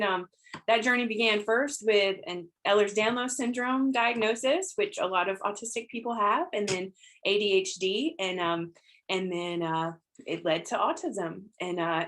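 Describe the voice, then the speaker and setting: A woman chats through her introduction on a video call, filling pauses with ums. Her pitch sits in the middle of the range. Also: a bit bright. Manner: chatty, frequent ums, light laughs. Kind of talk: round table introduction.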